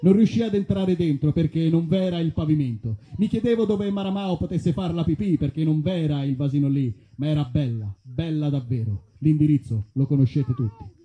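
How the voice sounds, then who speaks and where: A man's voice: fairly high pitch, moderate pace, dull audio. A man performing theatrical comic storytelling like a mock detective, in a mock-serious way at a live show. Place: live performance at an outdoor festival.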